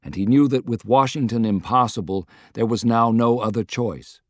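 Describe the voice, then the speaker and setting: Male audiobook narrator, mid-pitched, historical fiction, dry studio sound. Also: moderate pace, dry recording. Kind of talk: scripted dramatic narration of a historical novel.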